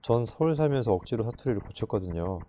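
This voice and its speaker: A man reading a storybook, voicing a gentle, curious young woman who speaks softly and asks many questions. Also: mid-range voice, expressive, quick pace, lightly acted. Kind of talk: audiobook character voice.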